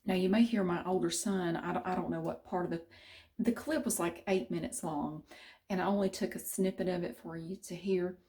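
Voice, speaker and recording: A woman's voice: lowish voice, steady tone, fairly quick, dark tone. A middle-aged woman from central North Carolina with a Southern accent, chatting casually to camera with many restarts. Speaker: vlogger.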